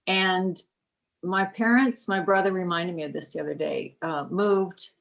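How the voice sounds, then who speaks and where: A woman's voice: on the low side, fairly even intonation, measured pace, vocal fry, fairly bright tone. A woman in her sixties from the US Midwest, a writer talking casually in an interview over a video call, chatty and laughing between thoughts. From Kansas, US Midwest. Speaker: guest, writer.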